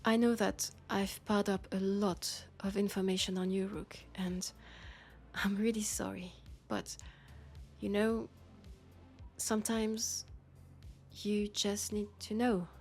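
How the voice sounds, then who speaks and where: A woman with a French accent playing a weary, guarded policewoman confiding quietly and hesitantly in an intimate sci-fi audio roleplay. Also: medium pitch, flat delivery, takes it slowly, faint music behind. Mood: gentle, apologetic.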